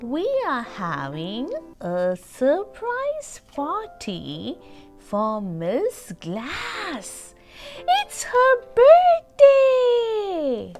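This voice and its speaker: A woman voicing an excitable, confident little girl who proudly and dramatically tells her own storybook tale. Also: high-pitched, drawn-out words, over music, exaggerated voice. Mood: excited, dramatic. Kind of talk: storybook read-aloud.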